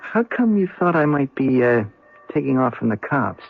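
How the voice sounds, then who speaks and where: Husky, jittery young drifter. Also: male voice, mid-range voice, very animated, moderate pace. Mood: pushy, wary. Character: jittery, nosy young male drifter, streetwise and slangy. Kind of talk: radio drama acting. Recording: muffled radio drama recording.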